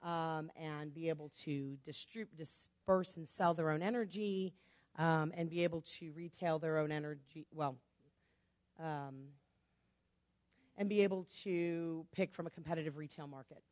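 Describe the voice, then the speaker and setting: Woman, low voice, speaks fast, chatty club talk, jokey asides, dry close sound. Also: darkish tone, no room sound. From Chicago. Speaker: guest speaker. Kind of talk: public talk to a club audience.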